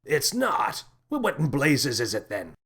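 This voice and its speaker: A man's voice: mid-range voice, huge pitch swings, unhurried, fairly bright tone, breathy edge, exaggerated voice. A man voicing a brash, bumbling space captain with a pompous, emphatic delivery in a sci-fi audio storybook. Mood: baffled, exasperated.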